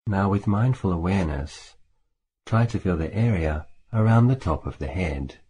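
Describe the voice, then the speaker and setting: A man leading a guided meditation as a teacher, speaking in a calm, measured way with long pauses between phrases. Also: low voice, slightly rough. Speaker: Buddhist monk, meditation teacher. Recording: faint background hiss.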